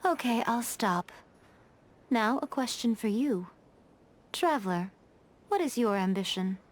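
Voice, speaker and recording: An actress playing a sly, elegant fox shrine maiden in a video game, speaking in composed, articulate and playfully teasing phrases. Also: female voice, fairly high pitch, lively intonation, unhurried. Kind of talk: scripted video game dialogue. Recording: game music behind the voice.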